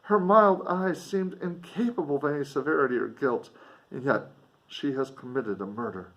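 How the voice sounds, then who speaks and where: A man voicing an earnest, kind, grieving young gentlewoman from a gothic novel, with formal, pleading speech. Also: mid-range voice, moderate pace, highs cut off, pitched above the performer's own voice, lightly acted. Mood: dismayed.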